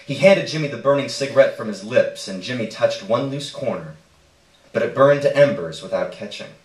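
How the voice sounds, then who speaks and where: A man's voice: medium pitch, lively intonation, normal speed. A man reading a short story aloud as narration at a live stage reading. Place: indoor stage reading in a roomy space. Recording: echoey room, distant microphone.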